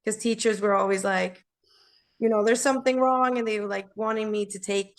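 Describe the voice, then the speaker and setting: A woman with a naturally raspy voice, a singer being interviewed, rambling in a friendly way and laughing easily. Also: mid-range voice, fairly even intonation, a little fast. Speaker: singer, guest. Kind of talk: casual interview chat.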